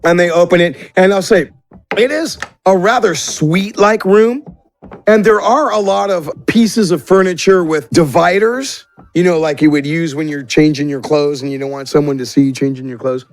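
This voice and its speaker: A man's voice: sits high, moderate pace. A man running a tabletop roleplaying game as game master, improvising narration in an informal, conversational way.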